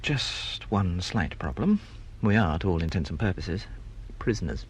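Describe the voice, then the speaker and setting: An actor in a radio drama playing a resentful, wary novelist with a wry, understated and hesitant manner. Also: male voice, low voice, animated, a little slow, a little hiss or hum. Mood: dry, keen. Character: resentful, wary male novelist, eager for revenge.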